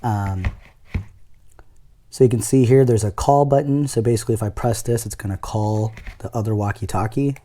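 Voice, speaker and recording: A man's voice: lowish voice, steady tone, moderate pace, dark tone. A man giving a casual product demonstration, explaining things step by step in an informal way. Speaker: product reviewer. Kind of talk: casual unboxing demo.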